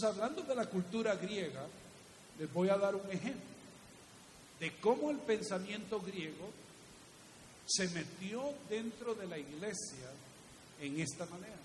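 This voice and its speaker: A man preaching a didactic sermon, posing rhetorical questions and repeating key phrases. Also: sits high. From Guatemala. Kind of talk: teaching sermon. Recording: echoey hall reverb with faint hiss.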